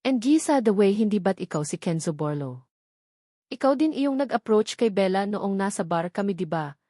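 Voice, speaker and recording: A woman's voice: mid-range voice, lively intonation, moderate pace, warm tone, no echo, clean studio sound, close to an everyday voice. A Filipino woman voicing a confident, teasing young boyfriend in storybook dialogue, slipping between Filipino and English. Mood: mock apologetic. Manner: code-switches into English.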